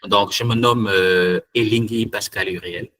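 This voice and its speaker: A man's voice: on the low side, fairly even intonation, unhurried, fairly bright tone. A man from Cameroon hosting a live online tech meetup, talking hesitantly with many fillers and restarts. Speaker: meetup host and trainer.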